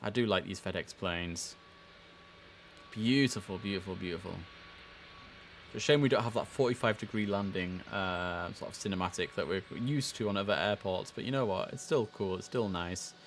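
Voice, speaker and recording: A man's voice: low voice, normal speed. A man live streaming as an air traffic controller, mixing chatty muttered asides with clipped, formal radio-style instructions.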